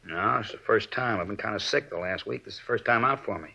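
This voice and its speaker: An actor playing an anxious, pleading suspect with a husky, strained voice in a 1950s radio drama. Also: male voice, lowish voice, fast, pushed and bright, heavily acted. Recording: dull vintage radio recording.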